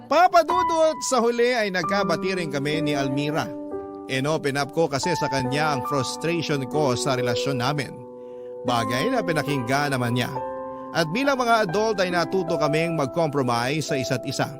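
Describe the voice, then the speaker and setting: Man acting as earnest boyfriend narrator over loud music. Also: fairly high pitch, animated, normal speed, close to an everyday voice. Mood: reflective. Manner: earnest, reflective. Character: lovelorn boyfriend confessing his story to host. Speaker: letter-sender narrating his love story. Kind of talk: confessional scripted radio drama narration.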